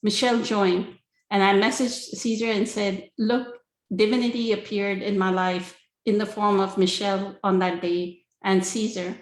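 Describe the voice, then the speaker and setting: A woman's voice: medium pitch, flat delivery, moderate pace. Echoey woman giving spiritual talk. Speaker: spiritual teacher hosting an online Q and A. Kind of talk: spiritual talk, conversational teaching. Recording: echoey, roomy sound.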